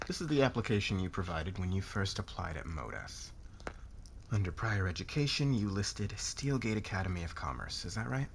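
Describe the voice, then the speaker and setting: A man playing a demanding, calculating corporate boss in a scripted ASMR roleplay, with a sardonic, deliberate, commanding manner. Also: low-pitched, sing-song, vocal fry, slight background noise, exaggerated voice. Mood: cool, interrogating.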